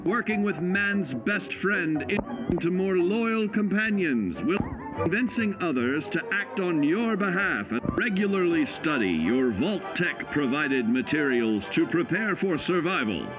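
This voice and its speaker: An actor playing a cheerful, folksy narrator of 1950s-style government survival films, selling each point with measured, upbeat emphasis. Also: male voice, high-pitched, expressive, highs cut off. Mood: upbeat, instructive. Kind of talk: 1950s instructional film narration. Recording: vintage band-limited film sound, music behind. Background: music.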